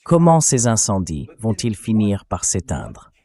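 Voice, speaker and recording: Low-voiced male interview host. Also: wildly swooping pitch, a little slow, vocal fry, dark tone. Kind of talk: dubbed translation of interview questioning.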